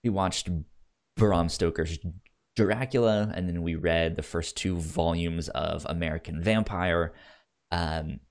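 A man co-hosting a casual film discussion, talking haltingly and often repeating words as he gets his thoughts out. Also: low voice, steady tone. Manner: halting, repeats words and stammers over starts. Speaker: podcast co-host.

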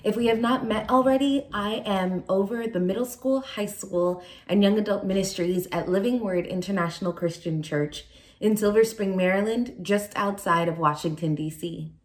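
A woman's voice: mid-range voice, moderate pace. A young adult woman youth pastor from Nigeria, raised in California, giving an earnest chapel sermon with prayer and personal stories.

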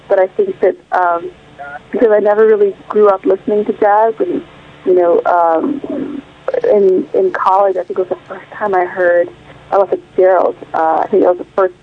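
A woman singer interviewed by phone, from Illinois, speaking thoughtfully and searching for words with frequent fillers. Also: on the low side. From Illinois, United States. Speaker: guest singer. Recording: tinny phone line.

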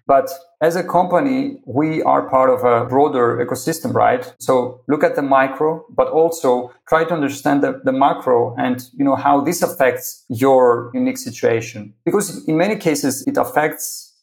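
A man's voice: medium pitch, gravelly. A man from Greece with a Greek accent, a podcast guest answering thoughtfully and often checking in with "right?". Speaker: agency founder, podcast guest. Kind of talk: conversational interview.

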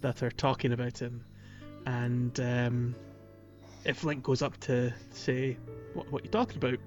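Man, podcast host, mid-pitched, casual and jokey game recap, light music underneath. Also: steady tone, measured pace, highs cut off.

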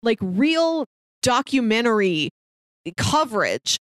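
A woman's voice: a little high, big pitch swings, slow, dry recording, studio quality. A woman chatting casually as a podcast co-host, conversational and peppering her sentences with "like". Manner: chatty, conversational, heavy use of "like". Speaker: podcast co-host giving recommendations. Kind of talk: casual film discussion chat.

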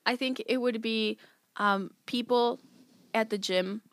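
A young woman whose first language is Spanish, chatting casually as a podcast guest. She speaks with a fairly high voice, giggles often and fills her talk with likes. The recording is dry and close, with no room sound. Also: steady tone, slow, no room sound. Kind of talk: casual conversation.

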